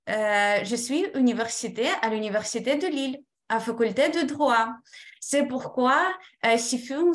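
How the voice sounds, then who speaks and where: Slow, higher-pitched Ukrainian woman. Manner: halting, careful non-native French. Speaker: former trainee giving a testimonial.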